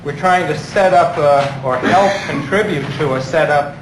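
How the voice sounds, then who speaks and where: A man organizing an anti-war movement, explaining his plans deliberately and earnestly. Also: a little high, roomy sound, noisy background. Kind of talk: interview and negotiation.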